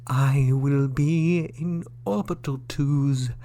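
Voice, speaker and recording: An actor playing an evasive alien ambassador in a sci-fi audio drama, halting and elaborately courteous. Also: male voice, mid-range voice, steady tone, warm tone. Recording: clear background noise with hum.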